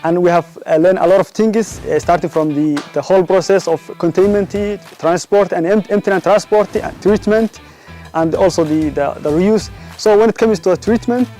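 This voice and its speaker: A man's voice: high voice, moderate pace, mellow, faint music behind. A man from Somalia, interviewed as a trainee, hesitant with many fillers. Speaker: aid worker, trainee.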